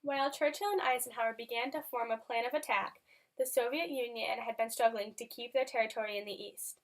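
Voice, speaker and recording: A woman narrates a historical newsreel in a moderately high voice. She is reading prepared lines as a scripted report. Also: fairly even intonation, fairly quick.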